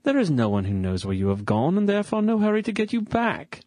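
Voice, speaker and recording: An actor playing a suave, predatory duke in a period radio drama, condescending and full of oily mock gallantry. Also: male voice, high-pitched, big pitch swings, quick pace, a little mellow, no room sound. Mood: coaxing, menacing. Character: suave, predatory, smug English duke, a lecherous villain.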